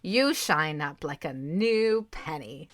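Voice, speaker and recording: A woman playing a kindly, plain-spoken, motherly society lady in an audio drama, with a folksy, drawn-out delivery. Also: low-pitched, expressive. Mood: kindly, frank.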